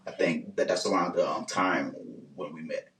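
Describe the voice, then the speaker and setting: Deep-voiced Haitian man, roomy distant sound. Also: fairly even intonation, a bit bright, a little hiss or hum. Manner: unhurried, many "um"s and repeated words, short laughs. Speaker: guest, engineer and business owner. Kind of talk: relaxed interview answers.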